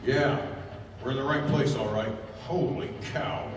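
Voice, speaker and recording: A man's voice: mid-range voice, measured pace, background noise, close to an everyday voice. Man acting a hearty adventurer, very echoey hall. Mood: surprised. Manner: emphatic, exclamatory. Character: hearty male adventurer, expedition navigator. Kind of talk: 1930s radio adventure acting. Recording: very echoey hall, distant mic, music.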